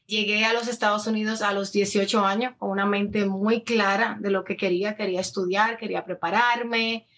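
A woman's voice: medium pitch, fairly even intonation, normal speed, a bit bright. A woman in her early thirties with a Dominican Spanish accent, talking casually and at length as an interview guest, mixing in English words. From Dominican Republic. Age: early 30s. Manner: talkative, anecdotal, slips in English words. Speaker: interview guest, influencer and addiction counselor. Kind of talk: casual conversational interview answers.

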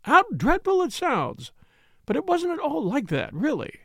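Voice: high-pitched, huge pitch swings, a little slow, vocal fry, a bit bright, dry recording, clean studio sound, pitched above the performer's own voice, heavily acted. A reader putting on a gushing, tearful lady. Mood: flustered. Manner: fluttery, rambling, self-pitying. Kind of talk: audiobook character reading.

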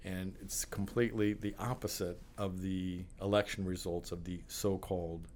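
A man with a very low voice answers interview questions in a measured way, pausing on fillers. A faint hiss of noise sits under the recording. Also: flat delivery, a little mellow. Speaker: pollster.

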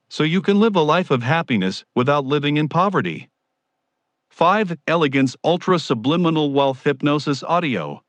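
A man's voice: mid-range voice, dry recording. A man delivering a scripted promotional narration in a grandiose, formal salesman's manner. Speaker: promoter of his own audio programs.

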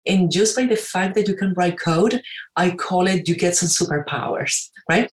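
A woman tells her story as an interview guest, chatty and full of fillers and tag questions. Her voice sits on the low side and rings in an echoey room. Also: moderate pace. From Venezuela. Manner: chatty, many fillers and "right?" tags. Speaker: guest entrepreneur.

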